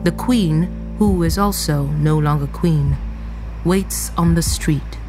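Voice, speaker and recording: A woman narrating a radio drama as a calm, solemn storyteller, measured and deliberate in scripted delivery. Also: sits low, over music. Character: calm, solemn female storyteller setting scenes.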